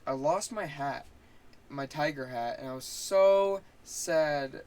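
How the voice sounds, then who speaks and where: Young man, podcast co-host, casual chat, mellow voice, stumbling restarts. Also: a little high, slow, a little hiss or hum. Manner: laid-back, trailing restarts.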